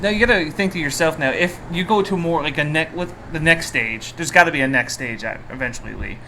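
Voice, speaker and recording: Bright-voiced man bantering as host. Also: fairly high pitch, brisk, rough recording. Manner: informal, bantering, interjecting. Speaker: podcast host, interviewer. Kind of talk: casual interview chat. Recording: faint background noise, room ambience.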